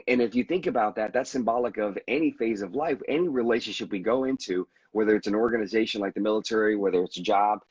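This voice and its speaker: A man with a husky, lowish voice tells his own story in an earnest, reflective way. He often stumbles and restarts a sentence. The sound is dry and close, with the dull, lo-fi quality of a video call. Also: no echo. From United States. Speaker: veteran guest. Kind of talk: candid conversational storytelling.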